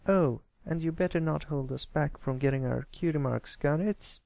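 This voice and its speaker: A man's voice: on the high side, moderate pace, creaky, a little mellow, no echo, dull audio, pitched above the performer's own voice. A man voicing a brash, bossy, suspicious young filly pony in a curt, challenging way for a cartoon fan story. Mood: bossy, stern.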